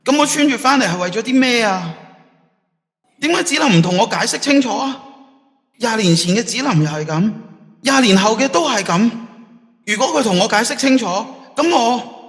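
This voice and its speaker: An actor playing an earnest, lovesick young student in an audio drama, with a Hong Kong Cantonese accent. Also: male voice, extremely high pitch, expressive, normal speed, fairly bright tone, in a large hall. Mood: anguished, regretful. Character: lovesick young male student, sent back in time, earnest and anguished.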